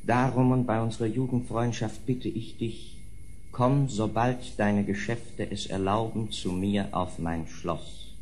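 A man playing a tormented, weary nobleman in a gothic audio drama, speaking formally and haltingly, with anguished pleading. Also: on the low side, fairly even intonation, darkish tone, a little hiss or hum, lightly acted.

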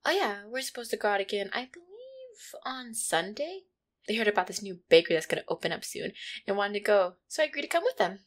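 A woman voicing an affectionate, insecure catgirl girlfriend in an intimate roleplay, with a hesitant, soft-hearted manner. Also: mid-range voice, sing-song, fast talker. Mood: puzzled, probing. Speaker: roleplay audio performer. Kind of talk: intimate one-sided roleplay audio acting.

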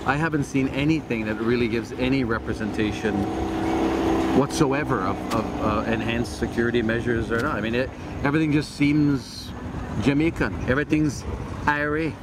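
A man's voice: medium pitch, moderate pace. A man in his forties hosting a casual travel interview outdoors on a beach, talking in a chatty, joking way with many restarts. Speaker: travel news host. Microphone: lapel mic. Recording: outdoor beach noise behind.

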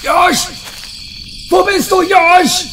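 A man's voice: extremely high pitch, steady tone, extremely slow, a bit bright, slight background noise, heavily acted. A man playing a jittery, talkative camper in a self-aware horror-comedy audio play. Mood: frantic. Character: jittery, talkative male camper and flustered story writer. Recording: music behind the voice.

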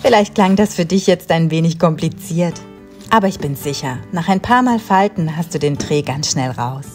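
Calm low-voiced woman narrating. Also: moderate pace, soft background music. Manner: calm, instructive, talks directly to the viewer. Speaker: home and cleaning vlogger. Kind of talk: calm scripted voice-over narration.

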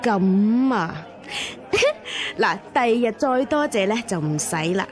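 A woman's voice: fairly high pitch, lively intonation, slow, soft background music. A woman acting in a radio drama as a wily, money-minded old matchmaker, chatty and wheedling.

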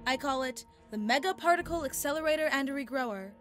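A woman's voice: high-pitched, animated, fast talker, a bit bright, soft background music. An actress playing an imperious, power-hungry female golf boss in an anime-style game, haughty and grandiose.

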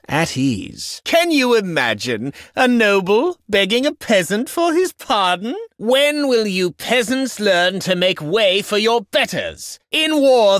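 Snobby medieval nobleman, pompous, contemptuous, sharp bright tone, dry close sound, video game barks. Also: fairly high pitch, no room sound, heavily acted. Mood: weary, bored, then condescending. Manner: pompous, sneering, ornate archaic diction. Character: haughty, contemptuous medieval nobleman lording over peasants. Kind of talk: video game voice lines, mock-archaic battle barks.

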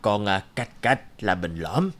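A man playing a polite, helpful pottery seller in an animated folk tale, hesitant and deferential. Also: on the low side, measured pace. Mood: courteous.

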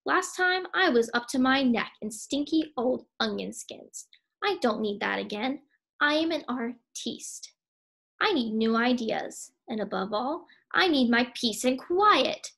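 A woman's voice: on the high side, lively intonation, a little slow, creaky, a bit bright, exaggerated voice. A woman voicing a cranky, proud male hare artist in a storybook, huffy and emphatic. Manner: huffy, emphatic, self-important.

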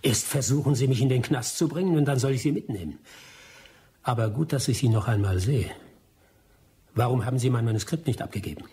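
An actor in a German radio drama playing a bitter, vengeful adventurer, defensive and scornful. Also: male voice, mid-range voice, a little fast, dark tone, husky.

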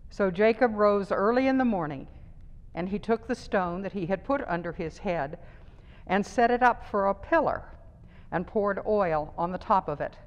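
An older woman reading scripture aloud in a measured, even way, wearing a face mask in a small church. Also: medium pitch, lo-fi sound. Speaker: lay reader. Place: small church chancel, indoors. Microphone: wearing a face mask, far camera. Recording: long church reverb.

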